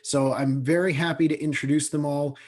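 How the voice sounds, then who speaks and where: A man moderating an online panel over a video call, talking haltingly with lots of fillers. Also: fairly high pitch, fairly even intonation, darkish tone. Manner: halting, with many fillers and restarts.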